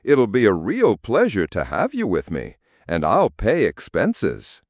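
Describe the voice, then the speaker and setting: Man acting a smug shadow gentleman, swooping delivery. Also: lowish voice, huge pitch swings, unhurried, dry recording, clean studio sound, full character voice. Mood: breezy, condescending. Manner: boastful, condescending. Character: smug, self-important living shadow turned wealthy gentleman.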